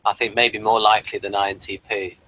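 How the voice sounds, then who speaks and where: A man's voice: on the low side, little pitch movement, brisk, pushed and bright, rough recording. A man hosting a casual, conversational discussion as a podcaster. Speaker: podcast host. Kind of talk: casual discussion of TV and personality types.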